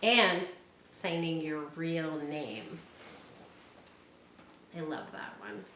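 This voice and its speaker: A woman reading a picture book aloud to children, stopping often for gentle, chatty asides. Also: deep voice, expressive, very slow. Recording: echoey room with faint hiss.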